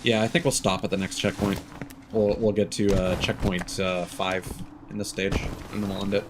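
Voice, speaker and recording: A man's voice: deep voice, level tone, moderate pace, noisy background. A man giving live gameplay commentary, thinking aloud and correcting himself in an exasperated, complaining way. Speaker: game streamer.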